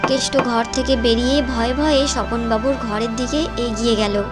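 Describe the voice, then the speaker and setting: A woman's voice: fairly high pitch, fairly even intonation, moderate pace, over loud music. A woman narrator reading a scripted story in a storytelling style.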